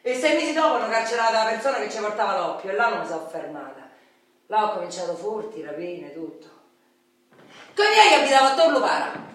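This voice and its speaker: An actress playing a rough, candid, wounded ex-convict street woman with a Roman accent, in a colloquial, rambling radio theatre monologue. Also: mid-range voice, very animated, fast, natural voice. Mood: matter-of-fact, weary. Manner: colloquial, rambling, confiding, with outbursts. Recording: very echoey room, distant microphone.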